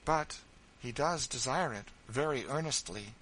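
A man voicing an earnest, energetic middle-aged spinster who pleads her case. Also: measured pace, slight background noise, close to an everyday voice, low-quality recording. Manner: earnest, pleading, raised pitch.

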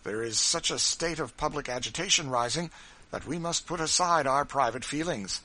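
A man's voice: fairly high pitch, animated, normal speed, sharp tone, voice pushed up. A man reading aloud, voicing a mild senator husband who soothes and reasons with his wife. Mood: placating. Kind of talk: audiobook character reading.

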